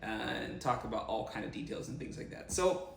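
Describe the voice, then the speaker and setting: A man's voice: medium pitch, expressive, brisk, fairly bright tone. A man from Jamaica chatting casually and teasingly as a vlog co-host, asking questions. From Jamaica (Montego Bay). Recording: roomy echo, clear background noise.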